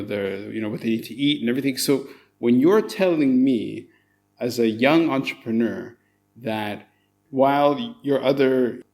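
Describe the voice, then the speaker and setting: Enthusiastic Canadian-raised podcast host. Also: male voice, mid-range voice, a little mellow. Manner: enthusiastic, rambling with fillers. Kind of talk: casual interview chat.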